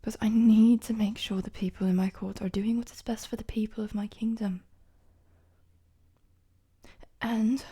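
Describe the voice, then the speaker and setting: A woman playing a gentle, playful young queen in an intimate audio roleplay, speaking politely and formally in a soft, breathy, often near-whispered voice. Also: on the high side, flat delivery, a little fast. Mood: earnest, conspiratorial.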